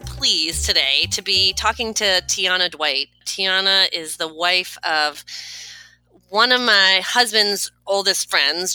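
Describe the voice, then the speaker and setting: A woman's voice: lowish voice, pushed and bright, smooth, no room sound, poor audio. A woman hosting an interview in a chatty, informal, conversational way. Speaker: interview host.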